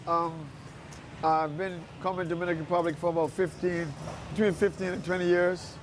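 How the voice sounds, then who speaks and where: A man's voice: fairly high pitch, fairly quick, slight background noise. A man chatting casually as an interview guest, telling anecdotes and repeating phrases for emphasis. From Bermuda. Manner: animated anecdotes, quotes people, repeats phrases. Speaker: interview guest, restaurant owner. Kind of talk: casual storytelling chat.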